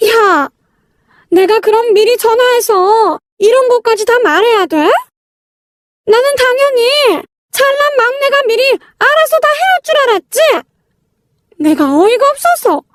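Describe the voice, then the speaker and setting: Super high naggy sister-in-law lady narrator acting her, rude and bossy, talks down to people, story drama. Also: lively intonation, a little slow, dry recording, clean studio sound. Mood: furious. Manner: scolding, talks down in blunt informal speech. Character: rude, bossy, shrill elder sister-in-law, quick to anger.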